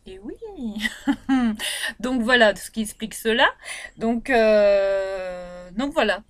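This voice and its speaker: A woman's voice: medium pitch, slow pace, clean tone. A woman with a Réunion Creole French accent, chatting casually as she reviews beauty products in her bedroom, rambling with lots of fillers and restarts. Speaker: beauty vlogger.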